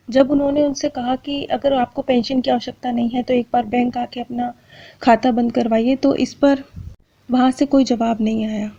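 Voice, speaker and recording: A woman narrating in a measured, earnest, explanatory way, as if presenting prepared accounts on a spiritual channel. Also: fairly high pitch, mellow. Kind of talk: scripted narration of true accounts. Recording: dull, lo-fi sound.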